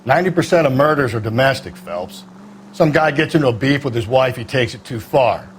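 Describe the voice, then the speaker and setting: Jaded veteran homicide cop, male actor, American, mid-pitched, sardonic and dismissive, game dialogue with faint noise behind. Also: lively intonation, a little fast, lightly acted. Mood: cynical, matter-of-fact. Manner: blunt, world-weary, sardonic. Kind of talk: cinematic video game acting.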